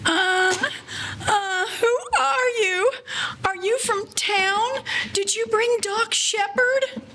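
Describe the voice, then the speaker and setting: A woman's voice: very high voice, takes it slowly, pushed and bright, a little hiss or hum, full character voice. A woman playing a frail, earnest young 1940s mother in an old-time radio drama. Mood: weak, frightened.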